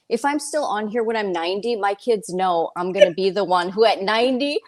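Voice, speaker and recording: A woman in her fifties with a medium-pitched voice. She speaks as a guest in a casual interview, candid and colloquial, often slipping quoted remarks into her storytelling. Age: 50s. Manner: animated storytelling, quotes herself and others, colloquial. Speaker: interview guest, real estate broker and speaker.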